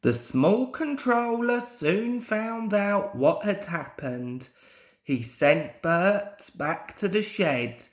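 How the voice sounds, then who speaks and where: A young man reading a children's story aloud in a deliberate, expressive storybook manner. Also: high voice. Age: young adult. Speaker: amateur storyteller.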